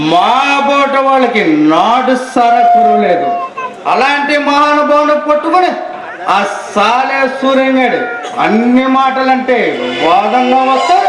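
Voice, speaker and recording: A man playing a high-pitched boastful comic drunkard. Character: boastful drunkard Narayana, wheedling for drink money, comic. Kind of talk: Telugu comic stage drama acting. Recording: echoey hall stage, noisy crowd.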